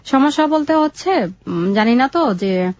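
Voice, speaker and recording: A young woman with a Bangladeshi accent telling her life story in an interview, in a halting, colloquial way. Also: high-pitched, animated, a little mellow, dry recording.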